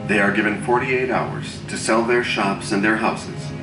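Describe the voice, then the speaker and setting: A man's voice: on the low side, fairly even intonation, reverberant. A man giving formal, scripted documentary narration. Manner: formal, measured. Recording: distant TV audio with music behind.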